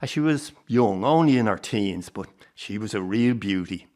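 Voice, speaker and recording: A man's voice: on the low side, expressive, moderate pace, darkish tone, close to an everyday voice. A man acting an old Irish circus grandfather in a radio drama, nostalgic and fond, carrying old grief. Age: older.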